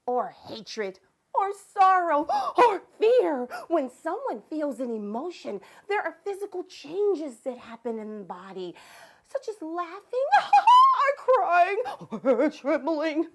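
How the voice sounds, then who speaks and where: Kids' educational show: over-the-top, excitable female word teacher, giggly, exclamatory, very high and animated. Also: slow, full character voice. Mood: excited, explaining. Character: zany, excitable woman vocabulary teacher for children. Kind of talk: children's educational show segment.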